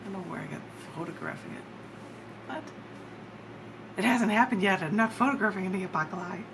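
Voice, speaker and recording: A woman poet giving a live reading of her work, chatting in between and pausing deliberately for drama. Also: mid-range voice, lively intonation, fairly bright tone. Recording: clearly noisy recording.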